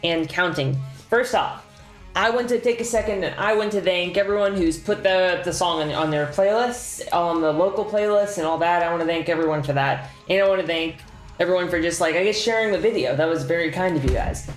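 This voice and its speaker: Young band guy rambling excitedly about his year, echoey room, faint music behind. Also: on the high side. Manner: rambling and excited, lots of fillers and slang. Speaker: band member giving a year-end update. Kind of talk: casual chat.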